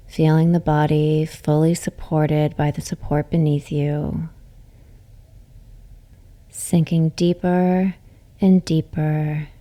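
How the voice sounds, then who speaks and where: A woman yoga nidra teacher guiding a relaxation meditation in a soothing, gentle, unhurried way, with soft, breathy phrase endings. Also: sits low.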